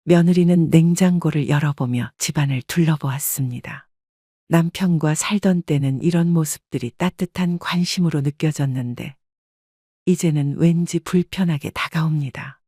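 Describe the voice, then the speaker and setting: A woman giving scripted first-person narration in an even, wistful storytelling manner. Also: deep voice, moderate pace, husky, no echo, clean studio sound. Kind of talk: scripted audio drama narration.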